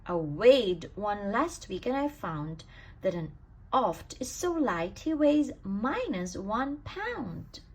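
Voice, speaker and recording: A woman reading a rhyming children's bedtime story aloud in an expressive, sing-song way with emphatic stresses. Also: medium pitch, takes it slowly, husky. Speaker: storybook reader.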